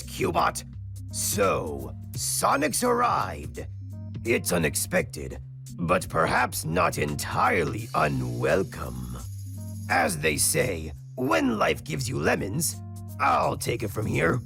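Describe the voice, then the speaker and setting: A man voicing a bossy, scheming mad-scientist villain in a fan dub of a comic, with a gravelly, snappish delivery. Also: a little high, huge pitch swings, slow pace, pushed and bright, full character voice. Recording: music under the dialogue.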